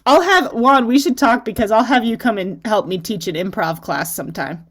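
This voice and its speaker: A woman's voice: a little high, moderate pace. A woman hosting a flute masterclass over a video call, enthusiastic and encouraging, laughing often. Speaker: flute teacher and masterclass host.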